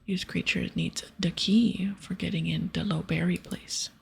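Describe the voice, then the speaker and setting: A woman voicing a simple-minded, blunt ogre from a fantasy game in broken speech and a breathy whisper. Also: lowish voice, fairly even intonation, measured pace, exaggerated voice. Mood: disappointed.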